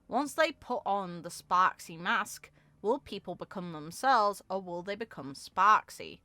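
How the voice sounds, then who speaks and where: A woman's voice: medium pitch, animated, a little slow, pushed and bright, no room sound. A woman voicing a mischievous, showy young female streamer from a game story, theatrical and teasing. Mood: announcing. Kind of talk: game lore character read-aloud.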